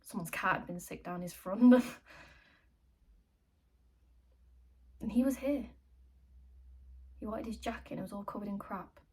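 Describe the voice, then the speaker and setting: A woman's voice: mid-range voice, brisk, a little mellow, slightly rough, lightly acted. An actress playing an agitated, chatty woman fed up with pestering strangers, in a blunt, rambling contemporary stage monologue. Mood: exasperated, disgusted.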